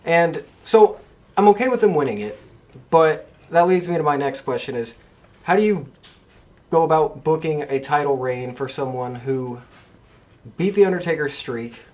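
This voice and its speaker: A man's voice: fairly high pitch, expressive, normal speed, darkish tone. A man hosting a podcast, talking casually in a conversational discussion. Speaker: podcast host.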